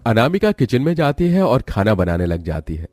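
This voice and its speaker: A man's voice: medium pitch, very animated, normal speed, a little mellow, no room sound, clean studio sound. A man narrating a scripted story as its storyteller.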